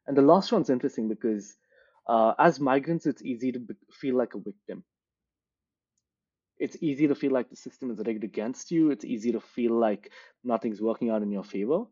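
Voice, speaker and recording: A man from India, a career coach, giving thoughtful, measured interview answers built around examples. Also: mid-range voice, a little mellow, breathy edge, muffled recording.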